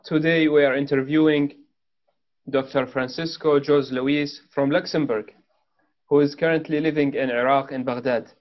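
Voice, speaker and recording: A man's voice: on the high side, fairly even intonation, normal speed. Young male host, formal, scripted questions, dull lo-fi webcam audio. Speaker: interview host. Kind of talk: formal interview introduction. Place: indoor room at home.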